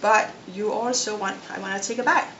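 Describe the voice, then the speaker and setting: A middle-aged woman with a Danish accent, giving a casual, unhurried cooking demonstration step by step. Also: medium pitch, fairly even intonation, lo-fi sound. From Denmark. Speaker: home cook and cooking show host. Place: home kitchen, indoors. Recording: echoey room, loud noise, kitchen clatter.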